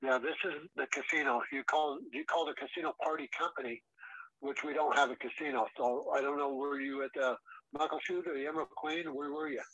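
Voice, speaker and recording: A man answering a customer service call, heard quietly through a phone speaker. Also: a little high, animated, brisk, no echo, muffled recording. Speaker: casino phone operator.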